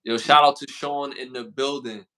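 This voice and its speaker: Brooklyn man, podcast co-host, bright edgy tone, slangy and repetitive. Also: mid-range voice, fairly even intonation, normal speed, rough recording. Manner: slangy, repeats himself, reads out list questions. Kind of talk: casual podcast chat.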